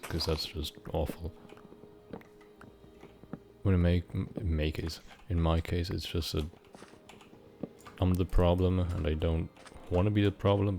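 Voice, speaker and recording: A young man of about nineteen from Switzerland, rambling unscripted in a halting, meandering way while he plays a game, with casual swearing. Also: deep voice, little pitch movement. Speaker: gaming vlogger.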